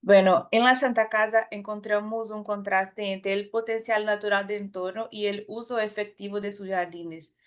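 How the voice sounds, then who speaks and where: A woman with a Brazilian Portuguese accent, a native Portuguese speaker, giving a conference talk in a careful, halting way with frequent restarts and self-corrections. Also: medium pitch, fairly even intonation. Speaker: academic presenter.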